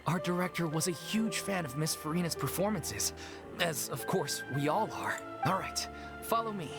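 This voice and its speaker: Courteous, animated game troupe organiser. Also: female voice, fairly low pitch, moderate pace. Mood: apologetic. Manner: courteous, explanatory. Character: polite, earnest theatre troupe organiser. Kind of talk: video game voice acting. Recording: clear music behind the voice.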